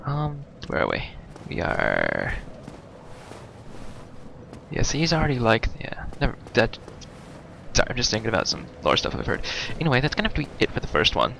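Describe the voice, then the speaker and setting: A man's voice: mid-range voice, wildly swooping pitch, normal speed, creaky, pauses often. A man giving casual let's play commentary with wry, self-deprecating muttered asides, recorded on a mic too close to his mouth. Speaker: gaming video host. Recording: noisy close mic with breath sounds.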